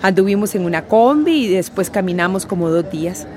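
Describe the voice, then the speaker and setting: A woman's voice: medium pitch, lively intonation, brisk, a little mellow, some chatter behind, natural voice. A woman acting a weary, plain-spoken working-class migrant in a radio drama, telling of her ordeal. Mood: earnest, rueful. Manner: plain-spoken, colloquial storytelling.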